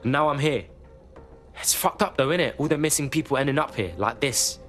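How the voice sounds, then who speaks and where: An actor playing a brash, friendly young hacker with a London accent and slangy, sweary talk in a video game. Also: male voice, mid-range voice, brisk, a bit bright, faint music behind, close to an everyday voice. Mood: brash, matey. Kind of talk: dramatic game cutscene acting.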